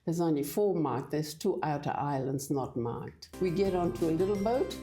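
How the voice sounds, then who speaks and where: An older woman from Fiji, talking in a halting, hesitant way as a guest in a casual church interview. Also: sits low, lively intonation, dark tone, faint music behind. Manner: hesitant, halting with many restarts.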